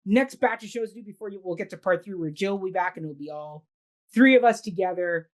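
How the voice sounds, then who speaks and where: A woman hosts a casual talk show about theatre. She sounds upbeat and keeps things moving with quick yeses, at an everyday middle pitch. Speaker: host. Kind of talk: casual podcast hosting.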